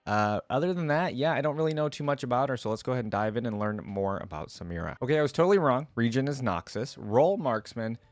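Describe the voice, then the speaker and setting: A man's voice: mid-range voice, lively intonation, fast, hardly any pauses, dry recording. A man reading a story aloud and commenting on it casually, as a reaction video creator. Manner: casual, conversational asides.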